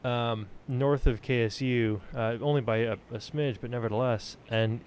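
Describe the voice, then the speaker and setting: Blunt animated guy, mid pitch, casual chat, some noise and rumble behind him. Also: moderate pace. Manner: animated, blunt, profane, joking exaggeration. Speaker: stream co-host, narrator.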